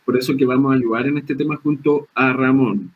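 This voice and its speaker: A man's voice: medium pitch, fairly even intonation, a little fast, narrow, tinny audio. A man hosting a professional program, speaking hesitantly with many fillers. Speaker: host. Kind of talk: hosting a professional talk show.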